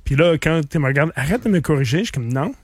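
A man's voice: fairly high pitch, expressive, quick pace, a little mellow, breathy edge, dry recording, studio quality. A middle-aged man whose first language is English, with a slight English accent, chatting casually on the radio as a beer columnist and explaining things in detail. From English-speaking.

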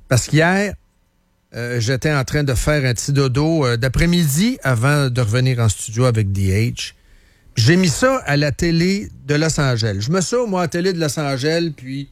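A man's voice: mid-range voice, no echo. Quebec man hosting talk radio, close and dry. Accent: Quebec French. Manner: colloquial, peppered with English words, repeats phrases for emphasis. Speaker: radio host. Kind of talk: opinionated casual current affairs chat.

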